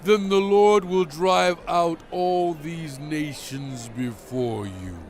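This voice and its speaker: A man playing a solemn, commanding biblical prophet in a dramatized scripture reading, speaking in a measured, emphatic, exhorting way. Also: a little high. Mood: earnest, commanding. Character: solemn commanding male biblical prophet addressing his people.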